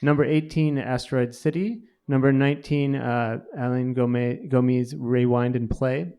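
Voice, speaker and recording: A man's voice: mid-range voice, steady tone, a little slow, dark tone, clean tone. A man co-hosting a live panel event, presenting to an audience in a casual, conversational way. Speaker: co-host and magazine editor.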